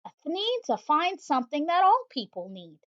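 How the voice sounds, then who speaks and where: A woman's voice: wildly swooping pitch, moderate pace, a bit bright, heavily acted. A woman voicing an eager male storybook salesman who proudly lists what his product can do. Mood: salesy. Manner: pitching a product, listing eagerly. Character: eager young male shop maker, pushy salesman. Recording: slightly dull lo-fi audio.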